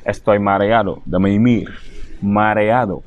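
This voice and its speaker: A man's voice: lowish voice, steady tone, takes it slowly, dull audio. A man from Senegal with a Senegalese accent, a native Wolof speaker, giving an informal language lesson, repeating phrases and explaining them as he switches between languages. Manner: repetitive, mixes languages while explaining. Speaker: language teacher.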